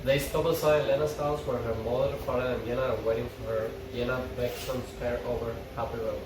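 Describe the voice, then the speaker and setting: A man's mid-pitched voice carries from some way off the mic, echoing in the room with clear noise behind it. He speaks like a fan at an informal read-through, narrating or chatting. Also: flat delivery, brisk. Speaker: fan table reader and commentator. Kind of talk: reading stage directions, then casual fan chat. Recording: echoey, far from mic, noisy room.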